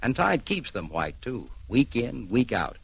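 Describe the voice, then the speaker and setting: A man's voice: mid-range voice, sing-song, measured pace, gravelly, slightly rough. A man announcing a vintage radio commercial with emphatic, punchy phrasing. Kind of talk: vintage 1940s-50s radio advertising pitch.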